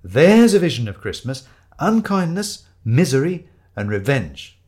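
A man's voice: medium pitch, very animated, measured pace, darkish tone. A man voicing an exasperated, cynical companion who scolds his detective friend in a comic story. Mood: insistent.

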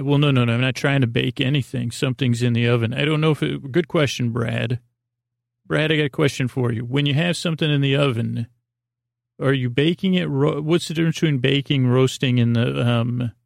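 A man with a creaky voice hosting a bedtime podcast, meandering and repeating himself in a whimsical, improvised monologue that drifts into tangents. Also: medium pitch, steady tone, a little fast, no echo, clean studio sound. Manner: meandering, repetitive, trails off into tangents; whimsical, silly, talks to an imagined listener. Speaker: podcast host.

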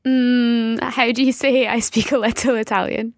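A woman's voice: on the high side, level tone, moderate pace, no room sound, clean studio sound. A woman co-hosting a language lesson as the learner, carefully repeating each phrase. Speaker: co-host learner.

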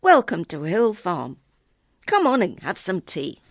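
Storybook role: motherly countrywoman, comforting, lively intonation, unhurried female reader. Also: on the low side, background noise, full character voice. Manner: comforting, welcoming. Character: kindly, motherly farmer's wife. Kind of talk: storybook reading.

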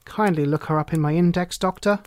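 A man's voice: a little high, a little fast, lightly acted. A man voicing a self-assured, dry-witted detective in an audiobook, languid and clipped. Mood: languid, impatient. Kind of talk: audiobook character reading.

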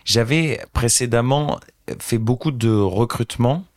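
A French man in his thirties, a social entrepreneur telling an animated anecdote as an interview guest. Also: medium pitch, unhurried. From France. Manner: animated anecdotal storyteller, addresses host directly. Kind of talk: casual storytelling interview.

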